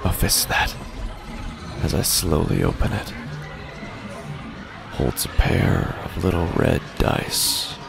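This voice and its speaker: A man performing a haunted gambler telling his horror tale in a very deep, creaky voice. He speaks in halting fragments with dramatic pauses and hushed near-whispers, over clearly audible music in an audio drama. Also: flat delivery, full character voice. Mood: tense dread. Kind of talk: scripted first-person horror narration. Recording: music bed under the voice.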